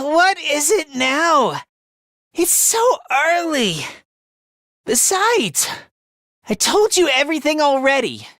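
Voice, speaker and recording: A man's voice: very high voice, sharp tone, dry recording, clean studio sound, full character voice. A man voicing a timid, self-loathing, frantic young male fighter in an anime-style video game dub, stammering, self-deprecating and easily panicked.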